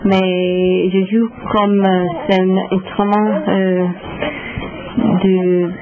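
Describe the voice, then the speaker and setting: A woman speaking French, a singer being interviewed on radio, halting as she searches for words. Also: medium pitch, little pitch movement. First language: English. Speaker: singer-songwriter guest. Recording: lo-fi phone-like radio sound with noise.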